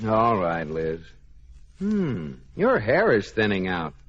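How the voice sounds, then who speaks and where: A man's voice: lowish voice, wildly swooping pitch, slow, a bit bright, husky, full character voice. A man acting a teasing American husband in a 1940s radio sitcom, dry and bantering. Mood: wry teasing. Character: wry, teasing husband, a banker. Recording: muffled old radio recording.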